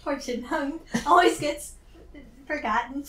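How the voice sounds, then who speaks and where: High voiced woman gamer, casual chat, echoey room w some noise. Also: a little slow, bright, forceful tone, distant mic. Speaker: tabletop game player.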